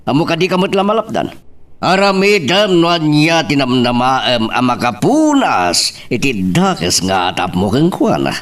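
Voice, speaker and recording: Man acting a concerned father, animated Filipino voice. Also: mid-range voice, a little slow, recorded from a distance. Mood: concerned, advising. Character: older father calmly advising his married daughter. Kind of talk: Ilocano radio-style drama acting.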